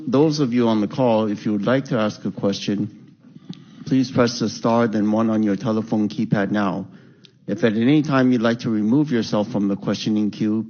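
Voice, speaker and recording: A man's voice: fairly low pitch, mellow. A man moderating a corporate earnings call with polite, formal, procedural delivery. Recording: dull band-limited conference call audio.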